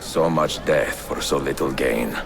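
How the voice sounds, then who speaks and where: A man playing a seasoned, courteous Italian master assassin in a video game, speaking formally in a gravelly, accented voice. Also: extremely low pitch, background noise, full character voice. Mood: weary, wry. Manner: measured, formal, dignified. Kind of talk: video game acting and narration.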